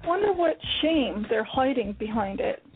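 A woman's voice: on the high side, lively intonation, normal speed, husky. A woman voicing a blunt, sarcastic young female student in an amateur radio play. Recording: tinny phone line with faint music.

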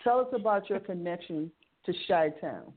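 A woman's voice: lowish voice, animated, moderate pace. A woman hosting a radio interview, upbeat and encouraging, often repeating her words. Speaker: radio show host. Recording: tinny phone-like sound.

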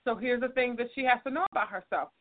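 A woman's voice: on the high side, quick pace, dry recording. A woman hosting an advice talk show, a counselor who speaks frankly and teaches through examples. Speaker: host and counselor. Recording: phone-like narrow audio.

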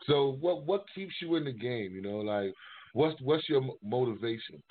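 A man hosting a casual interview, asking questions haltingly and rephrasing them midway. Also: medium pitch, expressive, slight background noise. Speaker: interviewer, show host. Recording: narrow-band, phone-like sound.

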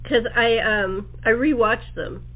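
A woman's voice: on the high side, slow. A woman hosting a casual interview chat in a chatty, rambling, apologetic way, her voice thin and phone-like. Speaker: talk show host. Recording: thin, band-limited phone-like sound.

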